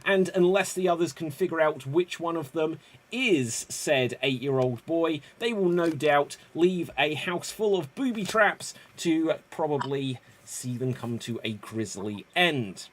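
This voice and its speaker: A man's voice: fairly high pitch, animated, a little slow. Upbeat rambling male host. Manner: upbeat, rambling, self-correcting. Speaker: improv show host. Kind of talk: energetic game-show hosting. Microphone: video call.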